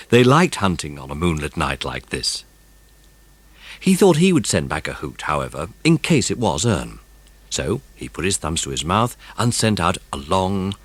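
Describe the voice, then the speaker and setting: A man narrating a children's mystery audiobook in a suspenseful, expressive way. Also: low voice, slightly rough, no echo.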